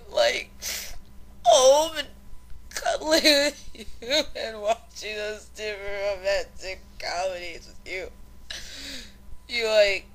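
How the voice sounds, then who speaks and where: A woman's voice: fairly high pitch, pushed and bright, slight background noise, exaggerated voice, poor audio. A woman playing a drunk, lovesick young mare disc jockey who slurs and rambles melodramatically in a fan fiction audio drama. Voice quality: slurring.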